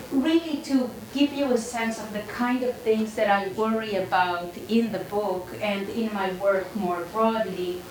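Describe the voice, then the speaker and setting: A woman with an Israeli accent giving a public lecture as a professor at a podium, halting often with fillers. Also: medium pitch, slight background noise. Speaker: guest speaker, professor. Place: university lecture hall. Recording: echoey lecture hall.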